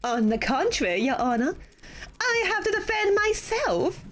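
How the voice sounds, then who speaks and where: A woman's voice: extremely high pitch, big pitch swings, unhurried, a bit bright, faint music behind, pitched above the performer's own voice, exaggerated voice. A woman playing a cocky defense attorney. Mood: defiant. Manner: theatrical, cocky courtroom delivery. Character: brash female defense attorney in court. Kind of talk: fan voice acting of a game.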